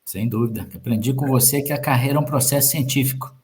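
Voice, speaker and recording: A man's voice: mid-range voice, fast, darkish tone. Brazilian male guest, sales manager; playful, metaphor-heavy talker, mid-range voice. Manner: joking, fond of metaphors and sayings. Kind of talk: conversational interview.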